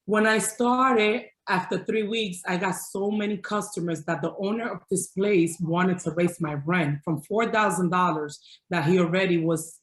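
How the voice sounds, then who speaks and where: A woman's voice: on the low side, normal speed. A middle-aged woman chef earnestly telling her life story in an upbeat way over a video call. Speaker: chef guest. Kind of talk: personal story in an interview. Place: home room. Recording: roomy video call audio.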